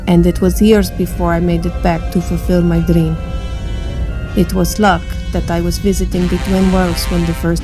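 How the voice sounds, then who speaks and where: A woman playing a proud, guarded alien fleet admiral in a sci-fi audio drama, speaking in a measured, authoritative way. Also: lowish voice, close to an everyday voice.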